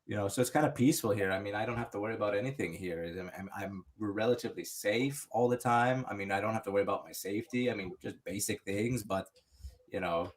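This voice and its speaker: A man's voice: lowish voice, fast. Man, casual podcast storyteller, loose and rambling, lots of restarts. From United States. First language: English. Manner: rambling, many restarts and "you know". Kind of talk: casual chat.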